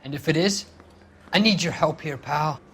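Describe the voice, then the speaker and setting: An actor playing a cornered criminal informant in a video game, pleading and bargaining insistently. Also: male voice, on the high side, normal speed, fairly bright tone, slightly rough. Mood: anxious bargaining. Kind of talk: scripted video game dialogue.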